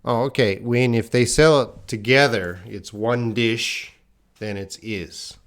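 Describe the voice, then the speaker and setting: A man's voice: mid-range voice, fairly even intonation. A man from Canada teaching an English grammar lesson live online, explaining patiently and reading out viewers' comments. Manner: slow, patient explaining, reads chat comments aloud.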